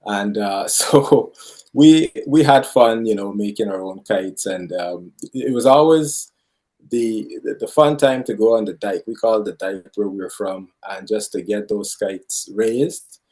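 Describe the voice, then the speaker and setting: Man, from Guyana, low-ish voice, easygoing, storytelling. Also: measured pace, dark tone, rough recording. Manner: easygoing, chuckling storyteller with fillers. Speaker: guest. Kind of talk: casual chat, storytelling.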